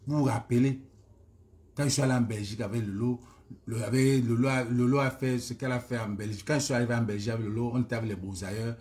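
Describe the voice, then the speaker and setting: A man's voice: medium pitch, steady tone, fast talker, darkish tone. A man with a Cameroonian accent ranting informally as a social media commentator, emphatic and hammering phrases again and again. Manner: emphatic, repeats phrases insistently. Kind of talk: informal live-stream rant.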